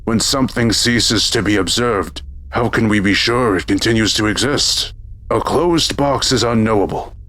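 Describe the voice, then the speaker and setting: A man playing a learned, self-assured robot scholar with a measured, pedantic delivery in an audio drama. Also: lowish voice, bright, forceful tone. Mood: calm, lecturing.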